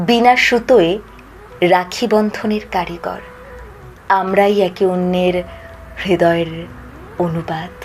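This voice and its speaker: Woman reciting poetry over background noise. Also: mid-range voice. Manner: measured, dramatic phrasing with pauses and drawn-out line endings. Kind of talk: expressive Bengali poetry recitation.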